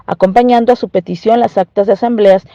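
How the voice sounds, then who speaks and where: A woman's voice: mid-range voice, steady tone, fast, dark tone, highs cut off. A woman with a Mexican accent reading a scripted news report as a reporter.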